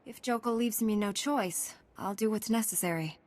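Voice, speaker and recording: A woman's voice: mid-range voice, moderate pace, darkish tone, natural voice. A woman voicing a resolute young Jedi knight in a video game.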